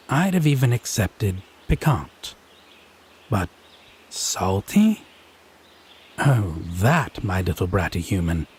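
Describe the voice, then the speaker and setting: An actor playing a haughty, teasing male Fae in an audio roleplay, speaking in an arch, pompous, theatrically drawn-out way. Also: lowish voice, darkish tone, breathy edge, exaggerated voice. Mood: mock offended, teasing. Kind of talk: scripted audio roleplay drama.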